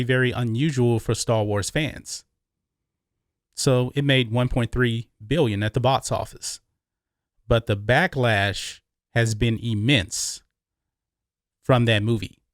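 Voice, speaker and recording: Man, American accent, medium pitch, emphatic opinionated commentator, repeats phrases for stress, dry sound with no echo. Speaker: YouTube movie commentary host.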